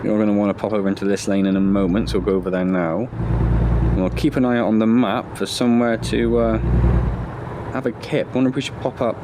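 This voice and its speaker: A man's voice: fairly low pitch, level tone, a little fast, background noise. Chatty man with a cold. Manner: chatty, thinking aloud, self-deprecating asides. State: slight head cold. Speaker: gaming video host. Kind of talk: casual let's-play commentary.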